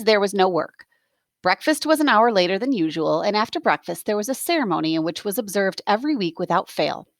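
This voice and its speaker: A woman reading a novel aloud as a teacher, expressive, with plain explanatory asides for students. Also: medium pitch, no room sound, clean studio sound.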